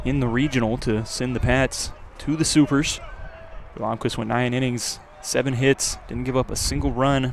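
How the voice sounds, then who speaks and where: A man giving live play-by-play baseball commentary for radio, his voice lifting on the action and settling between pitches. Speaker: play-by-play announcer. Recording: clear outdoor ambient noise. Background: outdoor ballpark ambience.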